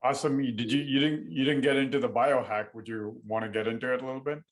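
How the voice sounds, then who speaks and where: A man's voice: medium pitch, fairly even intonation, quick pace. A man hosting an interview, asking loose questions full of fillers. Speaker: podcast host.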